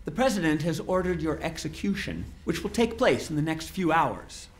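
A coolly menacing government official in a game cutscene. The voice sits slightly high for a man and moves in lively contours, while the delivery stays formal, measured and detached. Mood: cold, formal. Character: cold composed male government official, coolly bureaucratic and menacing. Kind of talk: dramatic video game cutscene acting.

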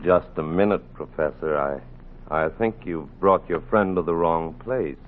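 An actor playing a haughty, bigoted American doctor in a 1940s radio drama, blunt and condescending. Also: male voice, low-pitched, a little slow. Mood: cold, condescending. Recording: tinny, faintly noisy 1940s radio recording.

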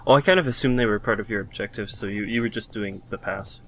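Lowish-voiced man chatting over a game. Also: fast talker, a bit bright, soft background music, rough recording. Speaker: co-commentator. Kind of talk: casual chat over gameplay.

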